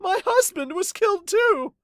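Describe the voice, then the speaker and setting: Woman as a high-pitched, distressed townswoman. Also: lively intonation, normal speed, no room sound, clean studio sound. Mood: anxious relief. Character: relieved, mournful townswoman gossiping about a killer.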